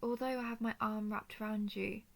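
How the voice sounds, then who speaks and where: Noble dragon lady, played by a woman: solemn, earnest, soft-spoken, flat melody, touch of creak, intimate roleplay monologue. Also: medium pitch. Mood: tender, soothed. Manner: formal, measured, earnest speech. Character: noble female dragon of a ruling house, gentle, solemn.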